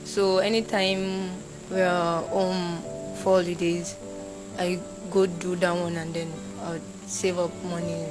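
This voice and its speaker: A young Nigerian woman, a university student, talking casually in an interview and acting out shouted quotes. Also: fairly low pitch, a little slow, music in the background, poor audio. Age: young adult. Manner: animated, acts out shouted quotes.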